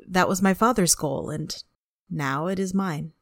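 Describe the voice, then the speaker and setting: An actress playing an earnest young woman applying to a space program in an audio drama, with formal, measured answers. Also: fairly low pitch, no echo, studio quality. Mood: earnest, resolute.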